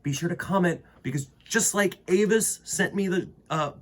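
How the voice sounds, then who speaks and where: A man with a husky voice reading aloud to children as a storytime host, expressively, with dramatic emphasis and pauses. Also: sits high, fairly bright tone. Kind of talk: animated picture-book read-aloud for children.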